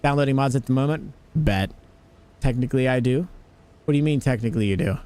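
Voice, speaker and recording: A man's voice: mid-range voice, quick pace, darkish tone, clean tone. A young American man hosting a casual gaming livestream, greeting viewers and joking as he plays. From United States. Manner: chatty, greets viewers, jokey. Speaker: livestream host.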